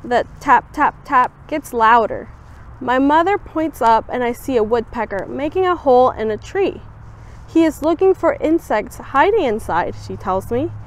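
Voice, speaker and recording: A young woman with a fairly high voice reads a children's picture book aloud. Her storytelling is expressive, and she brightens the voice for the quoted lines. She was recorded outdoors on a campus bench by a camera a short way off. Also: normal speed. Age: young adult. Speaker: student volunteer reader.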